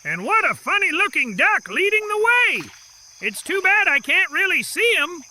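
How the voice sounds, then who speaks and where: A man's voice: very high voice, sing-song, moderate pace, pushed and bright, no echo, full character voice. A man voicing a kindly old grandpa in a delighted, exclaiming, singsong storybook manner. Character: kindly, short-sighted grandpa feeding ducks.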